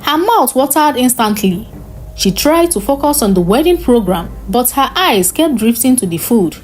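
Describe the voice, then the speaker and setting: A woman narrating a scripted folktale in an expressive, dramatic storytelling style. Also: on the high side, normal speed, slight background noise.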